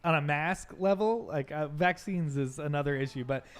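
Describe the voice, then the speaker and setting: A man co-hosting a podcast in casual conversation, talking loosely and haltingly as he thinks aloud. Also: a little high. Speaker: podcast co-host.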